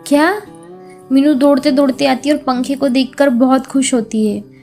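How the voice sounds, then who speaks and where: Casting: female narrator for children's moral tales, high voice, scripted read with background music. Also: fairly even intonation, moderate pace, dark tone. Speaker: storyteller.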